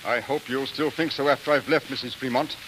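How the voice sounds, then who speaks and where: A man acting a stiff, indignant army colonel with formal, forceful speech in a 1940s radio drama. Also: mid-range voice, fairly even intonation, fairly quick, close to an everyday voice. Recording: faintly hissy 1940s radio recording.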